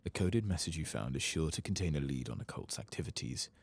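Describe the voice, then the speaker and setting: A man giving soft-spoken ASMR commentary on a video game, unhurried and often slipping into a breathy whisper. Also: rumbling low, lively intonation.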